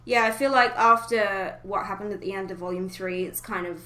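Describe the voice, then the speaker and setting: A woman hosting a podcast, casually discussing an animated series in an informal, blunt way. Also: mid-range voice, fairly quick, roomy sound. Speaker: podcast host.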